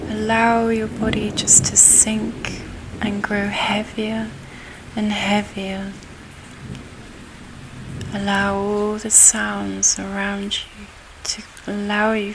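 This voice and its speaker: A woman guiding a relaxation hypnosis session in a soothing, hushed and breathy voice with long pauses. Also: mid-range voice, background noise. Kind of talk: guided relaxation hypnosis, ASMR-like. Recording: steady rain and thunder behind voice. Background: rain and thunder soundscape.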